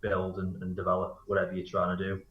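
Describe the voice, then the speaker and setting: Lowish-voiced man in a roomy, dull recording. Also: completely flat delivery, fast talker, slight background noise. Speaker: football coach, interview guest. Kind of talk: casual radio interview answers. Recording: roomy, slightly dull radio interview sound.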